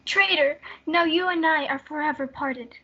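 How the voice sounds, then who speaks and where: A woman's voice: high voice, moderate pace, sharp tone, dull audio. A young woman playing Juliet, a desperate, defiant teenage girl in love, in a stage-play reading.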